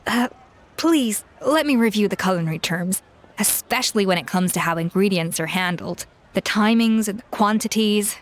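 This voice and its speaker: A woman plays a professional female chef in a video game. She is composed and exacting, with a medium-pitched voice. Her delivery is polite, precise and matter-of-fact. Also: moderate pace, lightly acted.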